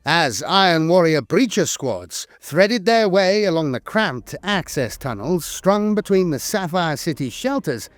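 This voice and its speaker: A man's voice: on the high side, dry recording. A man reading scripted lore narration in a formal, dramatic storytelling manner, recorded dry and close to the mic. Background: music.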